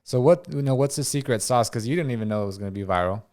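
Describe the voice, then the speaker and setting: A man's voice: mid-range voice, expressive, racing, darkish tone. A man hosting a casual podcast interview, laid-back and affirming, with slangy fillers. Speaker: podcast host.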